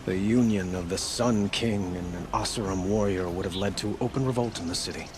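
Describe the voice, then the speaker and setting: An actor playing a gentle, grieving young king in a game cutscene, speaking formally with measured, hesitant sincerity. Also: low-pitched, background noise, natural voice. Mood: sorrowful. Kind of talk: dramatic video game cutscene acting. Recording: music behind the voice.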